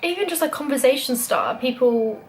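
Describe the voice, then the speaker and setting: A woman's voice: high voice, expressive, fairly quick, a little hiss or hum. A young British woman vlogging, talking casually and openly with many "like" fillers. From Britain. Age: young adult. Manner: chatty, lots of "like" fillers. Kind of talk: casual chat.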